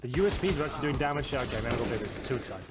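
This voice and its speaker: A male caster rattles through tactical breakdowns at a brisk clip, trading jokes with his partner. His voice sits at an ordinary middle pitch. Loud game noise and clicks run underneath the broadcast. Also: expressive. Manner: quick, joking, explains tactics. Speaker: esports caster. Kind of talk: analytical commentary with banter. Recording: noisy broadcast with game sounds.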